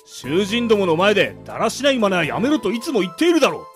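A man playing a stern, gruff middle-aged prison guard in an anime-style radio drama, scolding and bossy. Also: high voice, wildly swooping pitch, fast talker, fairly bright tone, soft background music, full character voice. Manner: scolding, commanding, old-fashioned bossy speech.

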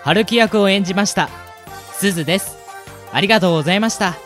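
A man's voice: high-pitched, fast, fairly bright tone, faint music behind. A man co-hosting an amateur radio show, chatting casually about the drama he acted in. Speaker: radio show co-host and cast member. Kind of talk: casual chat.

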